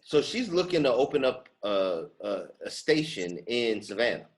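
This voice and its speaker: A man's voice: medium pitch, sing-song, moderate pace. An American man hosting a casual interview, slangy, with frequent affirmations and emphatically repeated questions. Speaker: podcast host.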